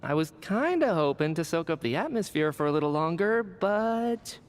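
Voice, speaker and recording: A man's voice: on the high side, big pitch swings, moderate pace, clear, resonant tone, big-room sound. A man playing a playful, work-shy scientist in a video game, with a breezy, teasing, theatrical delivery. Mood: wheedling, resigned.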